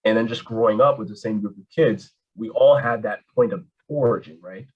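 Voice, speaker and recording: A man telling his story as an interview guest, casual and animated, full of slang. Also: fairly low pitch, fairly quick, breathy edge, muffled recording. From Canada. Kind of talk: candid conversation.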